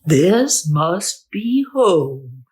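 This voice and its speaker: A woman's voice: lowish voice, big pitch swings, very slow, darkish tone, pitched below the performer's own voice, exaggerated voice. A woman voicing a small, innocent male teddy bear in a storybook, with gasping, wide-eyed exclamations. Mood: content. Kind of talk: children's storybook performance.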